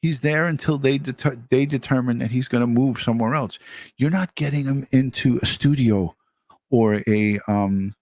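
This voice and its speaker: A man's voice: mid-range voice, normal speed, darkish tone, no room sound. A man hosting a commentary show, speaking off the cuff in a scornful, sarcastic way. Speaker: show host commenting on a recorded call.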